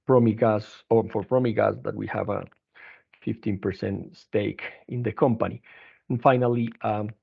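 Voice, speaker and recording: A man presenting company financial results as an executive on an earnings conference call, speaking haltingly with frequent restarts. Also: fairly low pitch, vocal fry. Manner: halting, hesitant delivery with repeated restarts. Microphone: telephone conference line. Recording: narrow, tinny phone-line conference call.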